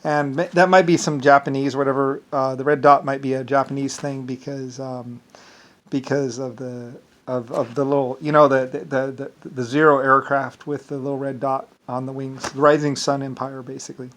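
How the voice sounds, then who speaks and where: A man's voice: medium pitch, level tone, moderate pace, fairly bright tone. A man with an Irish accent delivering a rambling, digressive solo monologue with self-assured claims.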